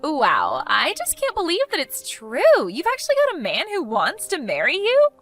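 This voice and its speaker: A woman's voice: high voice, huge pitch swings, moderate pace, fairly bright tone, soft background music, exaggerated voice. A woman voicing a catty, condescending, gossipy sister-in-law in an exaggerated, melodramatic style for a dramatized story. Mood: shocked disbelief. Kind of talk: dramatized text-message story voice acting.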